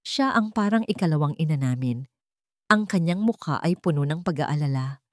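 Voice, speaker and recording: A woman's voice: sits low, animated, moderate pace, dry recording, clean studio sound. A woman reading a scripted dramatic story in the first person. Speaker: narrator of romantic drama stories.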